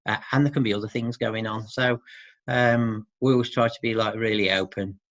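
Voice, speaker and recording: A man's voice: lowish voice, steady tone, normal speed. A man answering interview questions about his work in an informal, thoughtful, hesitant way. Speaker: interviewee, support service worker for dads. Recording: muffled, roomy lo-fi sound.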